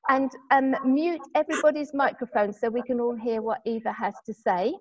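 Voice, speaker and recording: A woman hosting a small online group meeting, gentle and encouraging, talking casually with some fillers over a video call. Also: on the high side, fairly even intonation, normal speed. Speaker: group organiser and host. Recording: dull lo-fi video call audio.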